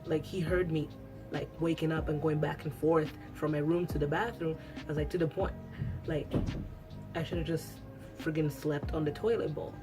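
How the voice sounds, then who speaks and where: A woman's voice: low voice, steady tone, moderate pace, music in the background, rough recording. A young woman whose first language is Italian, chatting casually to camera at home, rambling with lots of restarts. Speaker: beauty vlogger. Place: at home after a shower.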